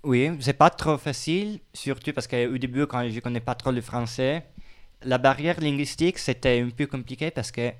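A man with an Italian accent, a radio guest chatting hesitantly with many restarts. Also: mid-range voice. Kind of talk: casual radio chat.

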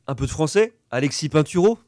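A man running a sports quiz on a casual radio show, reading out names slowly and laughing often. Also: fairly high pitch, expressive, darkish tone, dry recording. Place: community radio studio. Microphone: studio microphone.

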